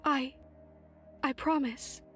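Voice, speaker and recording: A woman playing a gentle, earnest young duchess in an audio drama, hesitant and pleading. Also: high voice, steady tone, slow, faint music behind. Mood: pleading, uneasy.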